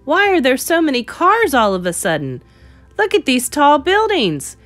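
A woman's voice: high-pitched, huge pitch swings, moderate pace, fairly bright tone, no echo, soft background music, voice pushed up, full character voice. A woman reading a storybook aloud as a kindly, nostalgic old male storyteller who muses and exclaims to himself. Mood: bewildered, grumbling. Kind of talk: storybook character voice in a read-aloud.

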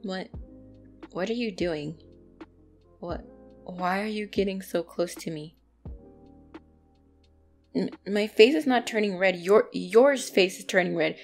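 A woman's voice: mid-range voice, slow, pauses often, soft background music. A woman playing a bratty, easily flustered young tsundere catgirl in an ASMR roleplay, speaking indignantly and defensively to the listener.

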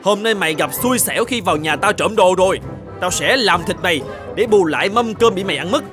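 A man's voice: high-pitched, expressive, fairly quick. A man playing a poor, blunt but kind young hero in a fairy-tale cartoon, speaking theatrically. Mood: angry, threatening. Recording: music behind the voice.

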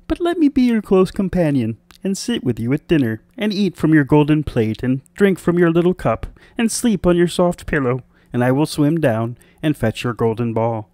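Man putting on a high, pleading little storybook frog. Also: very animated, moderate pace, few pauses, mellow, no room sound. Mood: bargaining. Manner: pleading, courteous, insistent. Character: small pleading, persistent male frog in a fairy tale. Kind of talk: storybook character voice.

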